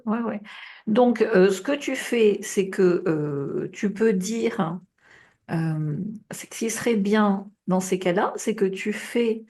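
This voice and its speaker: A woman's voice: mid-range voice, slow pace, dark tone. French woman coaching casually, full of fillers. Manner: casual, advising, many fillers and tu vois. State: coughing, voice tiring. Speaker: business coach. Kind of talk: group coaching call.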